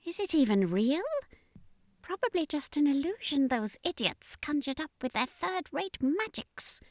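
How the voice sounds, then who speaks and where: A woman's voice: high voice, big pitch swings, a little slow, dry recording, studio quality, pitched above the performer's own voice, exaggerated voice. A woman voicing an arrogant, merciless octopus alien commander in an audiobook, with a contemptuous, sneering manner. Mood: angry disbelief.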